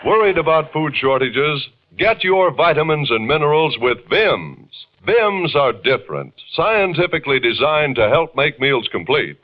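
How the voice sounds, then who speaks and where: A man's voice: fairly high pitch, sing-song, unhurried, few pauses. A man reading a 1940s radio advertisement as an announcer, punchy and emphatic. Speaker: commercial and network announcer. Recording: muffled 1940s radio recording.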